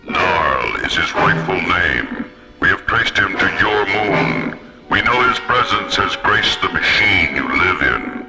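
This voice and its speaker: Deep, echoing, merciless alien high priest. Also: male voice, big pitch swings, vocal fry, exaggerated voice. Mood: cold menace. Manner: solemn, imperious, deliberate. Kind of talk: radio drama acting. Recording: echoing voice under loud music.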